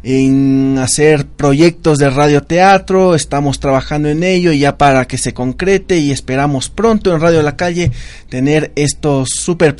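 Energetic radio host, man. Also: fairly high pitch. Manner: enthusiastic, greets listeners at length. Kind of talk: lively radio show hosting.

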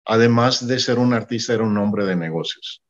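A man's voice: lowish voice, a little fast, muffled recording. A man from Mexico, a music promoter, telling stories from his career in an interview and acting out the conversations. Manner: animated anecdote-telling, acting out dialogue. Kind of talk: storytelling in an interview.

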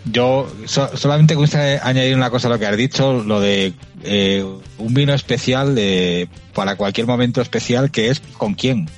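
A man from hosting a wine podcast, chatting casually with frequent pauses and fillers. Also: medium pitch, faint music behind. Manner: friendly, hesitant with many fillers. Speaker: podcast host.

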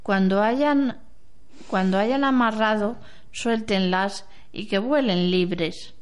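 Lady doing a wise old granny elder in a radio play, real slow, smooth clear voice. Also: mid-range voice, close to an everyday voice. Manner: slow, measured, instructing. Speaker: amateur radio drama actor. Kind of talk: amateur radio play acting.

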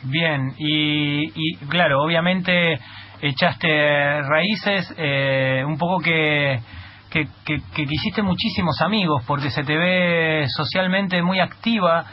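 A man's voice: a little high, slow pace. A man with a Rioplatense Argentine accent hosting a radio interview, often repeating words as he restarts his sentences. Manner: hesitant, many repeated restarts. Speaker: radio host. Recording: muffled lo-fi radio sound, faint noise.

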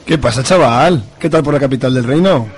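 A man's voice: medium pitch, a little fast, faint music behind, natural voice. A man playing a jovial, crude, chummy conservative fellow in a comic radio soap, hearty and slangy.

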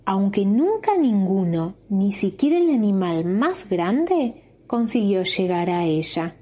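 A woman's voice: mid-range voice, very animated, slow, mellow. A woman with an Argentine accent, a kindergarten teacher narrating a children's storybook.

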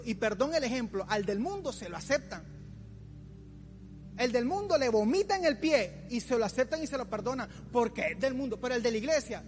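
Pastor juvenil de voz muy aguda. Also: male voice, very high-pitched. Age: 40s. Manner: colloquial, teasing, acts out imagined complainers. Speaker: youth pastor. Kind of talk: informal youth sermon. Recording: long hall reverb, music underneath.

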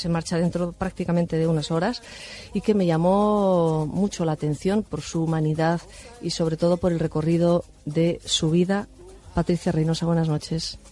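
A woman hosting a night radio show, asking gentle probing questions. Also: fairly low pitch, steady tone, brisk. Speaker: radio host.

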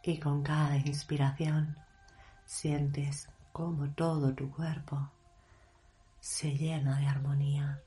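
Woman, very deep pitch, even tone, meditation guide, hushed and measured, long pauses. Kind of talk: guided meditation, slow soothing narration.

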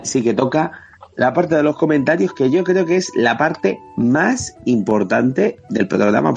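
A man's voice: medium pitch, creaky, a little mellow. A man with a Spanish accent from Spain hosting a podcast, chatting casually and reading out listener comments. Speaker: podcast host. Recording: dull lo-fi sound, faint music bed.

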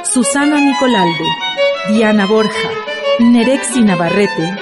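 A woman's voice: medium pitch, expressive, takes it slowly. A woman announcer reading scripted programme credits. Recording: loud violin music underneath.

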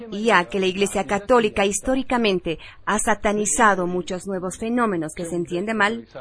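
A woman presenting radio news, reading scripted headlines and reports. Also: mid-range voice. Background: music.

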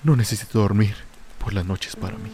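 An actor playing a lonely, tormented drunk sinking into fear and madness in a brooding, theatrical radio drama monologue. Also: male voice, lowish voice, brisk, dark tone, breathy edge. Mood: brooding, melancholic. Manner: brooding, theatrical first-person soliloquy.

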